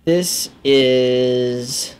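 Slow, halting man with mellow voice. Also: medium pitch, a little hiss or hum. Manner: halting, muttering to himself as he thinks. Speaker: gaming video host. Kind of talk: casual gameplay commentary, naming discoveries aloud. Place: small indoor room at a computer.

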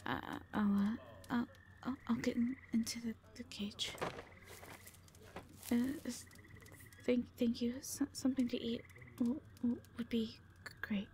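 A woman playing a shy, frightened, wounded owl beast-woman in an audio drama, stammering timidly in a soft, breathy, often whispering voice. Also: a little high, steady tone, unhurried, slight background noise, close to an everyday voice. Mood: timid, grateful. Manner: timid, heavily stammering, hesitant. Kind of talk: audio roleplay drama acting.

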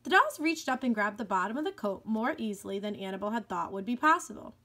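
A woman reading a children's book aloud with clear, expressive storytelling. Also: mid-range voice, quick pace. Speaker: elementary school educator.

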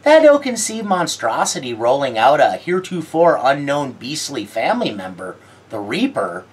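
Husky low-voiced woman, wry commentary. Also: sing-song, moderate pace. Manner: wry, sardonic, theatrical delivery. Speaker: horror film reviewer. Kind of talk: scripted video-essay commentary.

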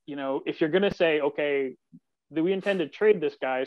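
Higher-voiced man, dry muffled call audio. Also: dry recording. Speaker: podcast guest, sports columnist. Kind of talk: casual sports discussion. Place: small home office, video call. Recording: dry, slightly dull video-call audio.

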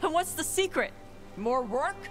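An actress playing a young horned warrior in a video game, bitter and grieving but determined. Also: female voice, high voice, very animated, a little slow. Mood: anguished. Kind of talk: video game dialogue acting. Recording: game dialogue with music behind.

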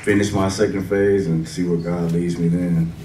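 A man's voice: deep voice, level tone, moderate pace. A nervous man graduating from a church program, speaking hesitantly on a church stage. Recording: echoey hall from far off, noise.

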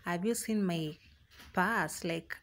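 A woman's voice: lowish voice, slow. A young woman with a Kenyan accent talking casually to her viewers in a home vlog, slipping Swahili phrases into her English. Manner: chatty and warm, mixing in Swahili phrases. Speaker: vlogger.

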